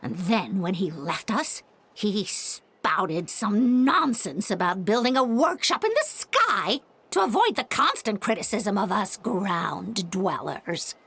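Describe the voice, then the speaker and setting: A middle-aged, bitter mother in a video game. She speaks slowly at a medium pitch, with cold scorn in every phrase. A faint noise runs behind the voice. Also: sing-song, fairly bright tone, exaggerated voice. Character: bitter, unforgiving mother disowning her grown son.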